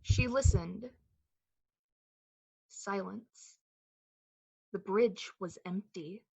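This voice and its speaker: A woman playing the narrator of a suspense radio play, speaking in a measured, dramatic storytelling style with a slightly husky voice. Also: medium pitch, a little mellow, full character voice, rough recording. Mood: tense, hushed.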